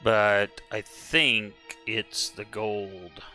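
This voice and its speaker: Man, casual gaming commentary, rambling, slightly hoarse, bright tone, faint music behind. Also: on the low side, steady tone, extremely slow. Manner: rambling, self-correcting. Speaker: game streamer. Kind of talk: casual live-stream commentary.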